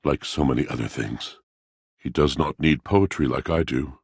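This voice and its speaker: Gravelly, extremely deep, brooding romantic. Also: male voice, normal speed, a little mellow, dry recording, studio quality, exaggerated voice. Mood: yearning. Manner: grandiose, poetic, mournful theatrical delivery. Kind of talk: theatrical video game character monologue.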